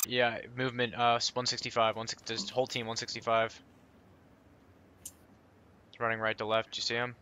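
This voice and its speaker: A man's voice: medium pitch, completely flat delivery, normal speed, long pauses, sharp tone. A man chatting casually with his squad and calling out positions in an online game. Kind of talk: casual gaming chat and callouts. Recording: noisy game voice chat with clicks.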